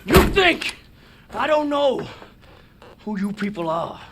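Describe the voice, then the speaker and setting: Gravelly, hot-tempered old Southern uncle. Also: male voice, high-pitched, big pitch swings, slow, a bit bright, a little hiss or hum, exaggerated voice. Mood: furious. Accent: American South. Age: older. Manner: folksy, confrontational drawl. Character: gruff, hot-tempered Southern backwoods uncle defending family. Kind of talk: video game cutscene acting. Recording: music behind the dialogue.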